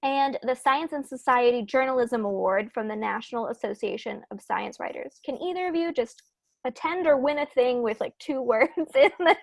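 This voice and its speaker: A woman with a fairly high voice and clear vocal fry hosts an online author event. She sounds informal and matter-of-fact, and the sound is close and dry. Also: normal speed, dry recording. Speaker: bookstore event host. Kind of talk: introducing a virtual author event. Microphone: video call.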